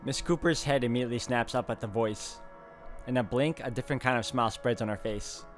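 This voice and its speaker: A man's voice: medium pitch, fairly quick. A man hosting a gaming video, reading story text aloud dramatically and reacting as he plays. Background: game music.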